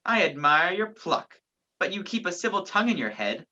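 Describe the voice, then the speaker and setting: A sardonic, commanding man on the run, acted by a woman in a radio play. The voice is low and bright-edged, full of dry sarcasm and clipped orders. The recording is dull and lo-fi. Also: very animated, normal speed, full character voice. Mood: menacing. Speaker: student stage actor.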